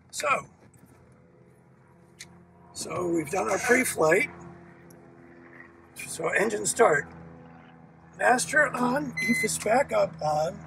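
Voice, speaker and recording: A man demonstrating a small plane's cockpit, explaining each checklist step in a relaxed, explanatory way as he works the controls. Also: high voice, vocal fry, recorded from a distance, slight background noise. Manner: relaxed, explanatory, talks while working controls. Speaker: aircraft sales demo pilot. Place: small aircraft cockpit with engine running.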